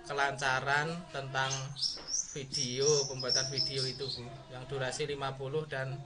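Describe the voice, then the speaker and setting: A man's voice: mid-range voice, little pitch movement, moderate pace, very noisy. A man being examined over a video call, answering politely and a little shyly, often adding "Bu" and "Pak". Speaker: teacher candidate being examined. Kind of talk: online exam interview. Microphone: computer mic on video call.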